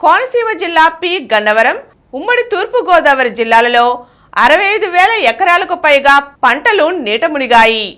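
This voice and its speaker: High-pitched, lively woman news reader. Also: moderate pace. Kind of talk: scripted news bulletin reading.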